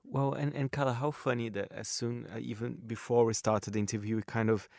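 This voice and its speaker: A man's voice: medium pitch, fairly even intonation, fairly quick, vocal fry, darkish tone, dry recording, studio quality. A middle-aged man with a Brazilian Portuguese accent, a radio culture correspondent interviewing a guest in a chatty way, often saying "I mean". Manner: chatty, many "I mean" fillers. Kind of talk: friendly conversational interview.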